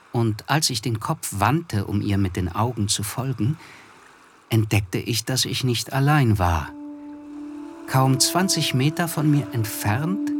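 Actor as reserved young male narrator, lowish voice, measured, reflective, observant, literary radio drama, music underneath. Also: close to an everyday voice. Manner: measured, reflective, hesitant in dialogue. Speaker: narrator and character. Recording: studio radio play with music.

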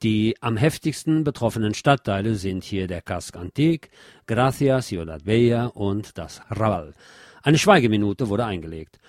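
A man's voice: on the low side, lively intonation, moderate pace, darkish tone, dry recording, clean studio sound. A man presenting radio news, reading from a script in a narrated style.

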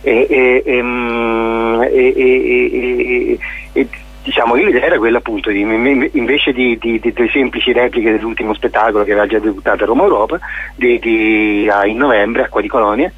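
Man, husky voice, halting speech full of fillers, heard over a tinny phone line. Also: medium pitch, steady tone. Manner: hesitant, many fillers and restarts. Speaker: theatre artist, interview guest. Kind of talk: radio phone interview.

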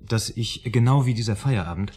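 A man acting in a German radio drama as a troubled, anxious writer, earnest and polite, with a husky voice and a hesitant, pleading manner. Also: mid-range voice, normal speed, a little mellow, natural voice.

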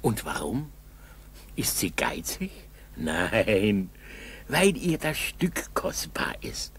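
A kindly old village grandfather in a vintage radio play. His voice is very husky and breathy, swinging widely up and down as he chats and coaxes in a folksy, halting way. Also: lowish voice, very animated, fairly bright tone, whispered, exaggerated voice. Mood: tender coaxing. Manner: folksy, coaxing, halting storytelling. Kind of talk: 1960s German school radio play acting.

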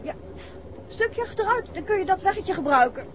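An actress playing a watchful, plucky detective's wife who speaks attentively and cautiously in a 1960s Dutch radio drama. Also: female voice, extremely high pitch, lively intonation, fairly quick. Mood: observant. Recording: dull 1960s radio recording, faint noise.

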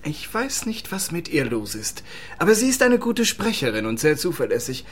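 An actor playing a shifty, bargaining informant in a radio crime play. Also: male voice, on the high side, big pitch swings, moderate pace. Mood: concerned. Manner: haggling, coy, swooping intonation.

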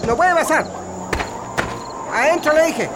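A man's voice: very high voice, animated, unhurried. A Chilean man playing a harsh, bullying military officer in a radio drama, barking curt and impatient orders. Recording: radio drama with music behind.

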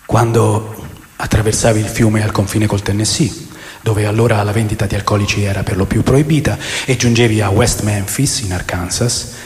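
An actor playing an aging, rebellious rock musician who recounts his wild past in a deliberate, theatrical radio drama narration with a gravelly voice. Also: on the low side, lightly acted. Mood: wry, reflective. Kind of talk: dramatic first-person memoir narration. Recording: long reverb over music.

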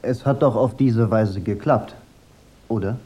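An actor playing a wry, unflappable private detective in a German radio drama. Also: male voice, on the low side, a little slow, dark tone, breathy edge. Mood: probing, sardonic. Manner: dry, sardonic.

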